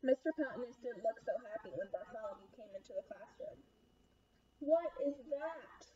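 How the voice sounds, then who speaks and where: Young lady doing a kids storytime read aloud, really high voice, muffled homemade recording. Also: animated, fast, mellow, a little hiss or hum. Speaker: children's read-aloud host. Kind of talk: expressive picture-book read-aloud for children. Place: small room at home.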